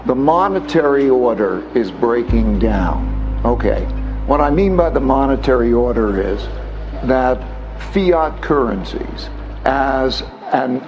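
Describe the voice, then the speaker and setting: Halting man explaining, muffled sound. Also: medium pitch. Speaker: financial commentator, interview guest. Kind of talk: explanatory interview talk.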